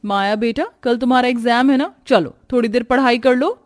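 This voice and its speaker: A woman's voice: a little high, a little fast, close to an everyday voice. A woman voicing an emphatic, moralizing mother in a Hindi cartoon moral story. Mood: gentle. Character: adult mother and housemaid, moralizing and scolding. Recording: music behind the voice.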